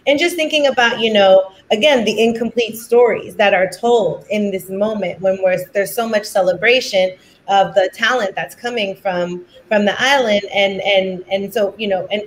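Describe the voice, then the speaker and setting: Woman moderating, lots of ums and you knows, kinda halting, mid pitch, little bit of background hiss. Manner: halting, many fillers and restarts. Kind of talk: conversational discussion.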